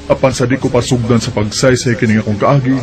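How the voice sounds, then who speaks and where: A man's voice: mid-range voice, steady tone, fast. A young man with a Cebuano accent narrating a radio drama earnestly and voicing its lead. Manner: earnest storytelling. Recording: music bed underneath.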